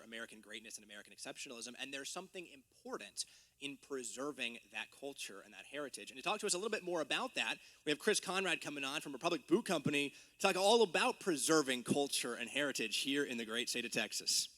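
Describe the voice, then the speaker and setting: A man's voice: mid-range voice, pushed and bright. A man hosting an event, speaking emphatically as he introduces the next speaker. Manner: emphatic, rousing. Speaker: event host. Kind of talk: stage introduction.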